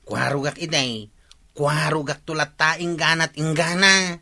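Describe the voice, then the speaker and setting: An actor playing a stubborn, hard-drinking bachelor tricycle driver in an Ilocano radio drama, with a drawling, dismissive manner. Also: male voice, on the high side, fairly even intonation, unhurried, vocal fry, bright, forceful tone, exaggerated voice.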